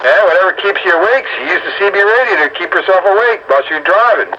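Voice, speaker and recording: Middle aged guy swapping stories on the radio, staticky and muffled, slightly high voice. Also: lively intonation, quick pace. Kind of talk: casual group radio chat, telling anecdotes. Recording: noisy narrow-band two-way radio audio.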